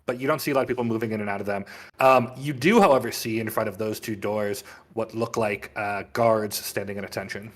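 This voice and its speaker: A man's voice: fairly low pitch, steady tone, a little fast. A man running a tabletop fantasy game as game master, describing scenes in improvised, conversational narration.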